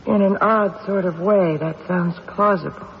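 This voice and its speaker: An actor playing a skeptical, businesslike police detective with pointed questioning, in an old-time radio drama style. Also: male voice, high-pitched, fairly even intonation, measured pace, vocal fry. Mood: insistent. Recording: muffled old radio recording, faint noise.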